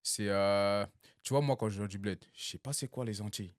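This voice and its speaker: A young man from Cameroon chatting casually among friends, telling animated stories full of slang and laughter. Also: fairly low pitch, brisk, dry recording, studio quality. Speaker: guest. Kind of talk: casual chat.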